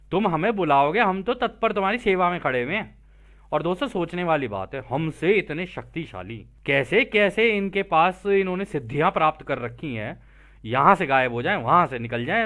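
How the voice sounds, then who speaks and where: An Indian man with a fairly high voice and very lively intonation. He presents spiritual teachings informally, moving between reading out lines and explaining them. He talks to his listeners like friends and often repeats a point in other words.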